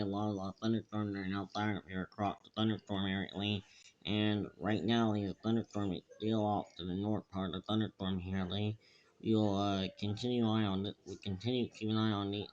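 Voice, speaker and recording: A man giving improvised live weather commentary, halting and repetitive, stumbling over phrases and place names. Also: fairly low pitch. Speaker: livestream weather host. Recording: dull lo-fi microphone sound.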